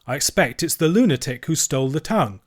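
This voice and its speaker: A man plays a village vicar in a storybook. He is proper and earnest, quick to excite but resolute, and gives orders in genteel phrases. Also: a little high, natural voice. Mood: alarmed.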